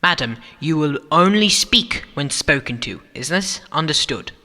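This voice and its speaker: A man's voice: on the high side, animated, measured pace, pushed and bright, big-room sound, heavily acted. A man playing a stern, officious Time Lord coordinator in a sci-fi audio drama, with a pompous, formal, commanding manner.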